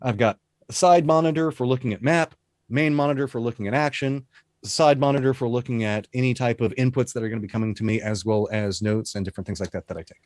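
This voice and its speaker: A man with a low voice, an esports caster talking through his home setup in an easygoing, detailed way over a video call. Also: fast, a little mellow, no echo, studio quality. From Texas. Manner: easygoing, detailed, rambling explanations. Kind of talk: casual interview chat over video call. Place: home broadcast setup room. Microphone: studio dynamic mic on video call.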